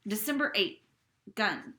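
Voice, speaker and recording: A woman reading a first-person novel aloud, with expressive, dramatic delivery. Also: medium pitch, unhurried, reverberant. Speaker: reader.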